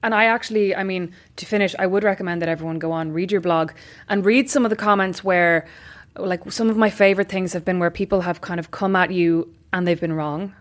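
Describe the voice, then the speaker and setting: A woman's voice: on the low side, fairly even intonation, a little fast, few pauses. A woman hosting a podcast interview, asking questions in an easygoing, affirming way. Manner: easygoing, affirming, casual fillers. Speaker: podcast host. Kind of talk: conversational interview.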